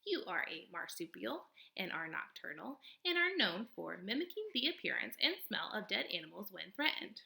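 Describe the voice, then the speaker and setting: A woman voicing an earnest, gentle female robot in a storybook, with formal, stilted, over-polite phrasing. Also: medium pitch, big pitch swings, moderate pace, creaky, few pauses, full character voice. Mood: literal, matter-of-fact. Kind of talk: storybook character read-aloud.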